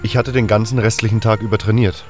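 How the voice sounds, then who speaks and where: Male narrator, lowish voice, scripted fantasy storytelling with music underneath. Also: little pitch movement, quick pace, darkish tone. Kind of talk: scripted first-person audio drama narration.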